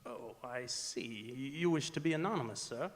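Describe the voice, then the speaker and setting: An actor playing a courteous, earnest Victorian gentleman in a radio drama, speaking formally and persuasively. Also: medium pitch, moderate pace, lightly acted. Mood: earnest, taken aback. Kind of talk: stage radio drama acting. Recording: reverberant theatre hall recording.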